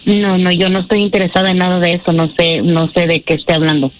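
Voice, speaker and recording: A woman's voice: lowish voice, little pitch movement, fast talker, slight background noise. A woman answering a phone call, speaking curtly and suspiciously. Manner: curt and suspicious. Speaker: prank call target. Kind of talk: phone conversation. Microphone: telephone.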